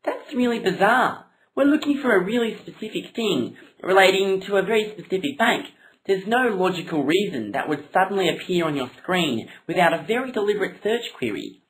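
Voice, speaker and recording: A woman's voice: mid-range voice, animated, fairly quick, voice pushed up, natural voice, low-quality recording. A woman voicing an exasperated, logical and critical member of a bumbling robber gang in a comic puppet show.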